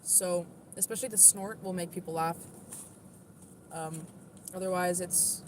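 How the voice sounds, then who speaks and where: A woman with a moderately deep voice, livestreaming and answering her viewers' comments in a chatty, defensive way, full of "like" and "literally". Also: steady tone, a little slow, background noise.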